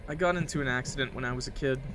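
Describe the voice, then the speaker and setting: A man's voice: medium pitch, rapid-fire, background noise, close to an everyday voice. A man voicing an awkward, withdrawn, weary young passenger in a visual novel, hesitant and casual. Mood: resigned.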